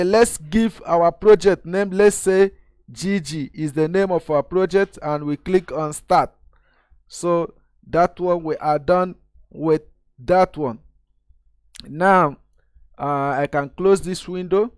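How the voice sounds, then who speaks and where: A man's voice: high voice, smooth, no room sound, studio quality. A man from Nigeria, host of his own channel, explaining a video editor step by step in a screen-recorded tutorial and calling viewers guys.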